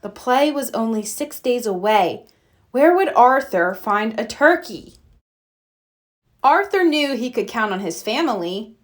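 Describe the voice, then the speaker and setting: A woman narrating a children's storybook aloud in an expressive read-aloud style. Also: a little high, unhurried. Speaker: storybook reader.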